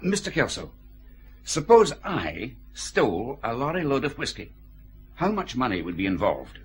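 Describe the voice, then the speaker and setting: An actor playing a methodical senior police superintendent, measured and authoritative, in a radio drama. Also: male voice, mid-range voice, huge pitch swings, a little hiss or hum. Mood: probing.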